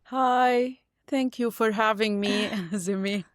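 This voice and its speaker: A woman in her forties, born in Syria and raised in Gaza, speaking as a podcast guest in a thoughtful, pausing way over a video call. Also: medium pitch, fairly even intonation, dry recording, studio quality. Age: 40s. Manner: thoughtful, searching for words with many pauses. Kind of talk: reflective interview conversation.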